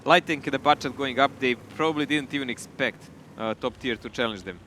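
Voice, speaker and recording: A man's voice: medium pitch, brisk, pushed and bright, a little hiss or hum. A man co-casting a live esports match, talking in a chatty way with loose phrasing and restarts. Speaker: esports co-caster.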